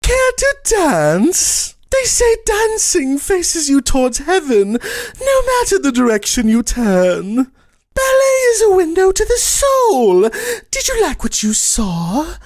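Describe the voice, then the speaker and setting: A woman's voice: very high-pitched, huge pitch swings, slow pace, darkish tone, no room sound, exaggerated voice. A woman voicing a haughty, eerily sweet ballerina animatronic in a horror game, dramatic and lilting in delivery. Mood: eerie elegance.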